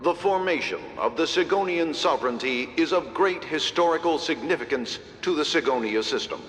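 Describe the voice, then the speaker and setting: Formal announcer, official proclamation, video game, long reverb, faint background noise. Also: male voice, on the high side, expressive, fairly bright tone. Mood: formal, measured. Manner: measured, official.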